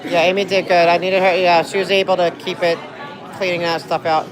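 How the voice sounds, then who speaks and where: A woman's voice: on the low side, flat delivery, brisk, crowd noise. Young woman streamer from New Jersey, chatty and rambling, busy crowded spot. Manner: chatty, rambling, reads viewer comments aloud. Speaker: livestreamer. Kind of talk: casual livestream chat.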